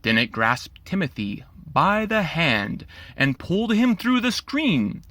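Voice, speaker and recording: A man's voice: on the high side, big pitch swings, takes it slowly, fairly bright tone, no room sound. A man narrating a rhyming children's storybook in a theatrical, playful way.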